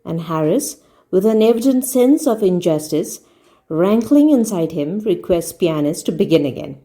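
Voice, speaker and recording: A woman's voice: on the low side, expressive, normal speed, mellow. Woman reading a comic story aloud. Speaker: audiobook storyteller.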